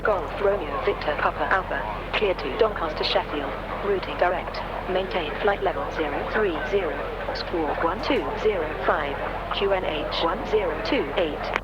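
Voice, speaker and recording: A man voicing a brisk, official air traffic controller in a simulator game, giving clipped, formal instructions over the radio. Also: high voice. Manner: clipped, procedural. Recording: dull radio channel, loud engine noise.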